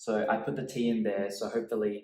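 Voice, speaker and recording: A young man teaching a relaxed language lesson, with an easygoing manner and a habit of laughing at his own slips. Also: fairly low pitch, very fast. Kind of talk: bilingual video lesson. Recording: echoey room, mic at a distance.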